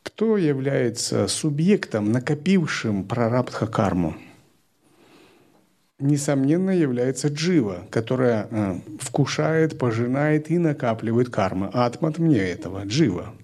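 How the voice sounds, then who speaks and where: A male spiritual teacher speaks with a gravelly, creaky edge at a middling pitch. He lays out his points calmly and methodically, one step at a time, in the manner of a lecture. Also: unhurried. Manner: calm, methodical explaining.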